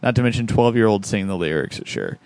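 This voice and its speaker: A man's voice: lowish voice, big pitch swings, quick pace, gravelly, darkish tone, clear, resonant tone, no echo. A man hosting a podcast, reading out listener comments in a laid-back, chuckling way. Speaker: podcast host.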